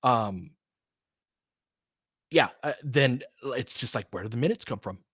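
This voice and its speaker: A man giving casual, analytical basketball commentary off the cuff, thinking aloud in a halting, conversational way. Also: medium pitch, a bit bright, husky, no room sound, clean studio sound. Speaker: audio play-by-play host and basketball analyst. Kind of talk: casual off-the-cuff sports commentary, answering listeners.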